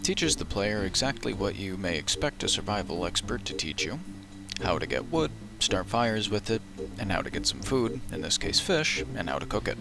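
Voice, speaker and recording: Low gravelly guy narrating a game retrospective, dry wry tone, music behind. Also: sing-song, moderate pace. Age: adult who played as a child in the early 2000s. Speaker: video essayist. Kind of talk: scripted voice-over narration.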